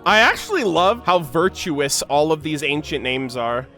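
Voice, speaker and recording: High-pitched, gushing young man reacting. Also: moderate pace, faint music behind. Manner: slangy, gushing, animated fan talk. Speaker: reaction video creator. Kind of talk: casual excited reaction commentary.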